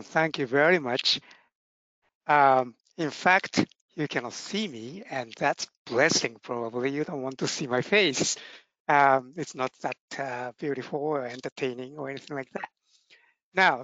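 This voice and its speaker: Japanese accent guy presenting on a webinar, bit high voice, dull dry call audio, lots of uhs. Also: a bit bright, no echo. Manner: self-deprecating, halting with fillers. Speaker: neurologist presenter.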